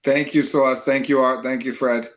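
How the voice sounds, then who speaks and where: A man's voice: medium pitch, flat delivery, moderate pace, muffled recording, over a noisy crowd. A man appearing as a podcast guest, chatting in a friendly, easygoing way and explaining things plainly. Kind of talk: casual podcast chat.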